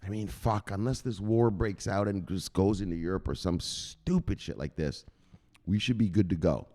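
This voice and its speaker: A man's voice: sits low, normal speed, a little mellow. A man hosting a crypto news channel, ranting through the headlines in a profane and emphatic way.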